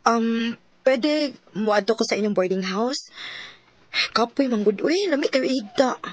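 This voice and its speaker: Coy young girlfriend, high husky voice. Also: animated, a little slow, dry recording. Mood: tired, cozy. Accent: Filipino, Cebuano. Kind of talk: Bisaya radio drama acting.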